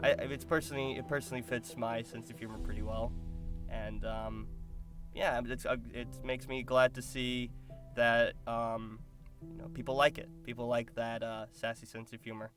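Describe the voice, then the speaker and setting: A man giving casual interview answers as a voice actor, thoughtful and pausing to find his words. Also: medium pitch, little pitch movement, fairly bright tone, faint music behind.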